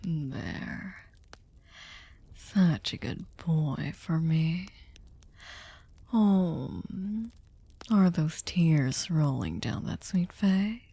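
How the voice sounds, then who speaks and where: A woman playing a sadistic, seductive vampire mistress in an ASMR roleplay, commanding and condescendingly tender, breathy and often whispering. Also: sits low, lively intonation, very slow, pauses often, dark tone, dry recording, exaggerated voice. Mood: soothing, possessive. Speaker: ASMR voice performer. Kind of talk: ASMR roleplay, slow intimate monologue. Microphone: close studio microphone, dry.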